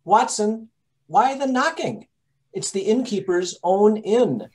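A man playing a brisk, impatient detective who directs a rehearsal in an old radio drama style. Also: sits high, lively intonation, background noise. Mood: exasperated. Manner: commanding, exasperated. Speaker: actor. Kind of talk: 1930s radio acting.

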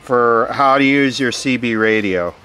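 A man giving casual, hands-on unboxing commentary, musing aloud in short phrases with pauses between them. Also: mid-range voice, clear, resonant tone. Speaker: vlogger unboxing vintage radio gear. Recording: steady background noise.

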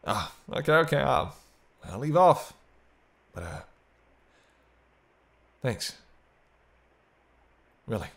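A man's voice: medium pitch, sing-song, takes it slowly, creaky, long pauses, a bit bright, exaggerated voice. A man playing a teasing, century-old vampire in an intimate roleplay audio drama, bantering wryly with hesitant asides. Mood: touched, hesitant. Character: teasing century-old male vampire, sardonic but soft-hearted.

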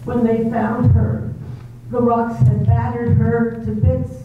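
A woman voicing a cold, cruel female housekeeper in a 1930s-style radio drama, talking in a low, insinuating, taunting way. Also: level tone, takes it slowly. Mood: coldly insinuating. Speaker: reader in an amateur theater group. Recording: echoey tinny hall recording from far off, with noise.

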